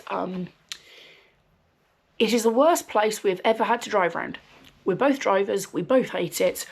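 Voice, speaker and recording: Brummie-ish young woman rambling on her podcast, croaky voice, bit nasal from a cold, lots of ums. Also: medium pitch. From West Midlands, England. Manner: chatty, frequent ums, dry swearing asides. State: recovering from a cold, slightly nasal and wheezy. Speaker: podcast host. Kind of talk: casual rambling chat.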